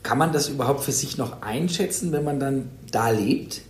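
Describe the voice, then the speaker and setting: A man's voice: medium pitch, normal speed. Chatty male host in a roomy space. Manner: chatty, rephrases questions. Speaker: interview host. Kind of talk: friendly interview questions.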